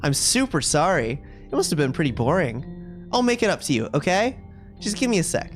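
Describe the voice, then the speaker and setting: Visual novel schoolgirl, read by a man: cutesy, confiding, clingy, very animated delivery over game music. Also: a little high, normal speed. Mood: breezy apologetic. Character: sweet, possessive, self-aware video game schoolgirl. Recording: music playing behind the voice.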